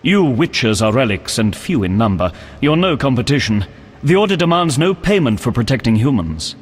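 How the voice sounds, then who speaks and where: An earnest game knight: slightly husky voice, solemn courtly phrasing with expressive swings, heard in long reverb. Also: male voice, mid-range voice, normal speed. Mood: proud, resolute. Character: earnest, pious, courteous male knight of an order. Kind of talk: video game character acting.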